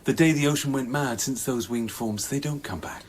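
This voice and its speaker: An actor playing a blunt, agitated colleague in a radio play, with a clipped, emphatic delivery. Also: male voice, mid-range voice, moderate pace, a little hiss or hum, lightly acted. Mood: grim, subdued. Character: blunt, agitated male colleague breaking hard news.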